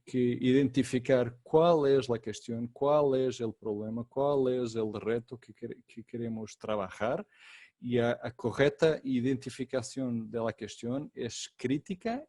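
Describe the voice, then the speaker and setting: A consultant giving a webinar masterclass, speaking slowly in a second language that is not his native Portuguese, with frequent fillers and repeated words. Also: mid-range voice, dark tone, no room sound, studio quality. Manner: slow and deliberate, many fillers and repeated words. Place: online webinar.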